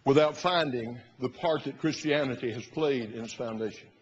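An older man in his sixties with a husky voice, preaching an emphatic, oratorical sermon as a pastor. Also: moderate pace. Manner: emphatic, oratorical, rising to loud bursts and dropping low. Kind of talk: fiery sermon. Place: large church sanctuary, at the pulpit platform. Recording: muffled recording in a reverberant church hall.

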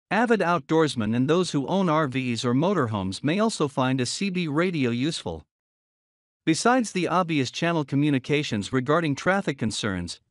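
Male voice-over narrator, slightly high and animated, dry studio sound, reads a numbered list. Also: dry recording.

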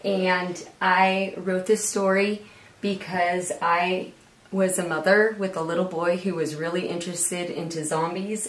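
A woman in her thirties, a guest author, talking informally to the camera. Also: fairly low pitch. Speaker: children's book author, guest. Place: small indoor room with window air conditioner. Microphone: camera a few feet away. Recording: echoey room.

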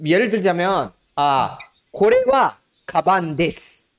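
A man in his thirties from Mexico, a language coach, chatting excitedly on a video call and laughing often. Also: sits high, slow pace. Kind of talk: casual video chat. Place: home studio room indoors. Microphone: desk mic on arm.

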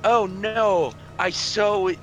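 A man's voice: high voice, steady tone, very slow, fairly bright tone. A man chatting casually with friends during a video game playthrough. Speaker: voice actor in a group game playthrough. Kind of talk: casual group banter over gameplay. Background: video game music.

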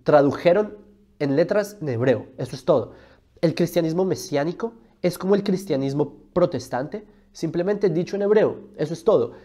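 Guy in his 30s on a lapel mic, kinda high voice but mellow, keeps firing rhetorical questions. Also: lively intonation, normal speed. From Colombia. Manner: emphatic, rhetorical questions, gestures constantly. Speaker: religion video host. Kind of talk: argumentative explainer monologue.

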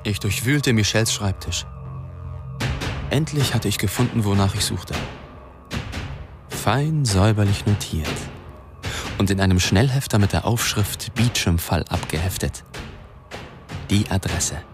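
An actor playing an intent investigating reporter, giving dramatic first-person narration in an audio drama. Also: male voice, fairly low pitch, a little slow, pauses often, music in the background. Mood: tense, determined.

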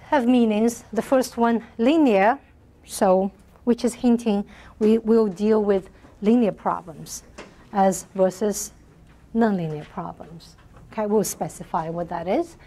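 A soft-spoken middle-aged woman lecturing on math in a large hall, often checking in with her class. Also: mid-range voice. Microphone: lapel mic.

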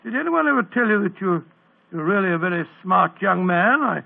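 An actor playing a bumbling, good-natured doctor sidekick, blustering and stammering in a 1940s radio drama. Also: male voice, high voice, expressive, fairly quick, creaky, full character voice. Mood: bluff. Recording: tinny old radio broadcast.

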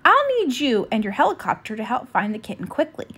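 Woman voicing young boy hero, storybook leader, confident, kindly, high-pitched, animated, reassuring orders. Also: brisk, exaggerated voice. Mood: commanding. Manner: clear, reassuring commands.

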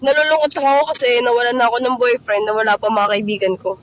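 A woman's voice: a little high, steady tone, fast, a little hiss or hum, natural voice. A woman playing a defensive, sulky schoolgirl in an amateur student radio drama, speaking plaintively. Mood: sad, sorry. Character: defensive, sulky schoolgirl caught hiding a boyfriend.

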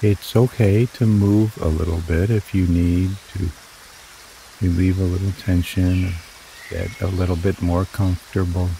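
A man guiding a relaxation meditation in slow, soothing, drawn-out phrases. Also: deep voice, little pitch movement, vocal fry, mellow, slight background noise, low-quality recording. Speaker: meditation guide. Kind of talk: guided meditation narration.

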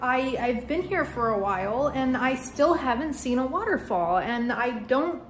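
A young adult woman talking casually and excitedly to camera as a vlogger, in informal, chatty speech. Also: sits high, measured pace, a little hiss or hum.

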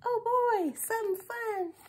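A woman voicing excitable young schoolchildren from a picture book in an eager, exclamatory way. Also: extremely high pitch, drawn-out words, voice pushed up, heavily acted. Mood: excited.

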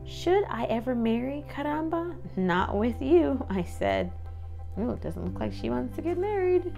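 A woman's voice: on the high side, very animated, a little slow, vocal fry, warm tone, muffled recording, faint music behind. A woman reading a children's picture book aloud expressively, with sing-song rhymes and informal asides. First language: English. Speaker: read-aloud host.

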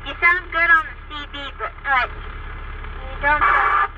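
A woman's voice: high-pitched, fairly even intonation, measured pace, distant mic, lo-fi sound. A woman answering as a base station operator over a two-way radio, giving short, plain replies. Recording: staticky, noisy radio transmission heard from a receiver.

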